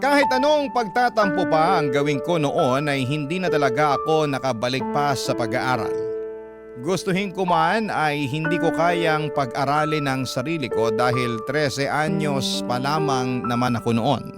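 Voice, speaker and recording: Male radio storyteller over loud music. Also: on the high side, animated, normal speed. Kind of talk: scripted dramatic narration of a listener's letter. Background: prominent background music.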